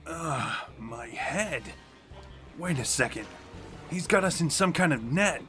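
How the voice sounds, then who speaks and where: An actor in a campy audio drama playing an excitable, panicky captive in old-fashioned comic-book melodrama. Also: male voice, a little high, slow, faint music behind, heavily acted. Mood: panicked.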